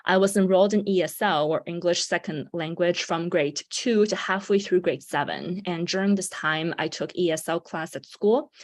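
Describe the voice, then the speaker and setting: A woman's voice: on the low side, normal speed, few pauses. Upbeat young woman giving an online talk. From China. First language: Mandarin Chinese. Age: 20s. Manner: upbeat, with frequent um and uh fillers. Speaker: presenter. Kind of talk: online presentation. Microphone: video call.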